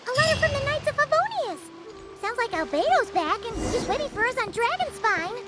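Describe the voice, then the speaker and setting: A woman voicing a tiny, excitable floating girl companion in a game, bubbly and eager. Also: very high voice, fairly quick. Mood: excited. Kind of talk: game cutscene voice acting. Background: background music.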